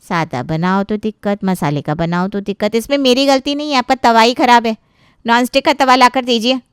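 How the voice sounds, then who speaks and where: A woman's voice: fairly high pitch, fairly quick, clear, resonant tone, no echo, clean studio sound, lightly acted. A woman playing a brash, defiant hired cook who complains and talks back in a family story. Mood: blaming. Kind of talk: dramatized Hindi family story dialogue.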